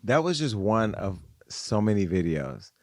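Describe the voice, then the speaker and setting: A man's voice: fairly low pitch, sing-song, measured pace, no room sound, studio quality. A man hosting a podcast, telling a story in a laughing, gossipy way. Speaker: podcast host. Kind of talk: casual chat.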